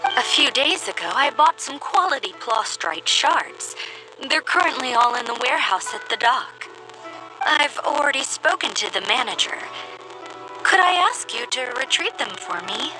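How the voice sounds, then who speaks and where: A woman playing a gentle, polite artisan of a fantasy town in measured video game dialogue over music. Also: high voice, expressive, rough recording. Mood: polite, composed. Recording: game music clearly behind the voice.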